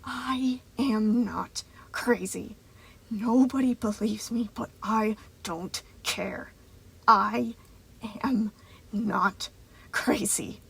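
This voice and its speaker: A woman voicing an unhinged, condescending male moon-faced robot in a slow, crackling near-whisper, taunting with tightly controlled intensity, in a story reading. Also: medium pitch, gravelly, husky. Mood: seething restraint.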